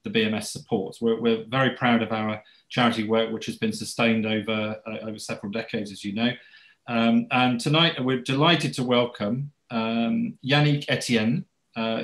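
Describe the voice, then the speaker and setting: A man chairing a meeting over a video call, hesitant, with many uhs and repeated words. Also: medium pitch. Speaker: chairman of a society. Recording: echoey room on a video call.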